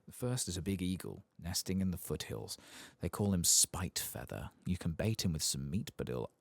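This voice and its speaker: A man's voice: sits low, expressive, moderate pace, creaky, no room sound, full character voice. A man voicing a chummy, informal game quest-giver who likes a wager, with a casual, folksy manner. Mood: chummy, scheming.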